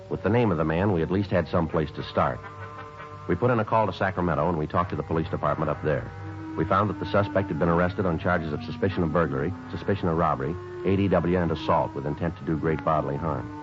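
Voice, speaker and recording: A man playing a terse, businesslike police detective and narrator in a 1950s radio drama, deadpan and matter-of-fact. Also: low-pitched, fast, soft background music, lightly acted. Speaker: narrator and lead actor. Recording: dull old 1950s radio recording.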